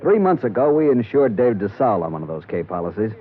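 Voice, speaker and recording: An actor with an American accent playing a weary, grumbling insurance executive in an old radio drama. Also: male voice, on the low side, very animated, fast talker. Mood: worn, businesslike. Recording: tinny old radio recording.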